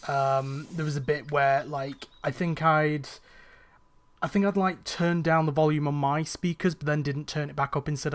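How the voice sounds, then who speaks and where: A young man streaming a cleaning game, chatting casually with his viewers, rambling with fillers and restarts and sounding a little tired. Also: fairly high pitch. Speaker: gaming streamer. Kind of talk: casual chat while playing a game on a live stream.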